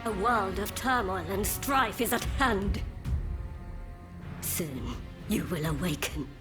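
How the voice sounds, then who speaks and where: A woman's voice: medium pitch, lively intonation, slow. An actress playing an ominous, controlling, mysterious woman in a dramatic video game. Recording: loud music over the voice.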